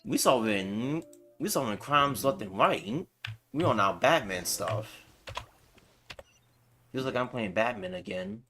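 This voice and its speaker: A man's voice: mid-range voice, lively intonation, slow, long pauses, pushed and bright. Laid-back man streaming a game. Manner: laid-back, repeats phrases. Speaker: livestreamer. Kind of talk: casual game commentary. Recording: game music faintly behind.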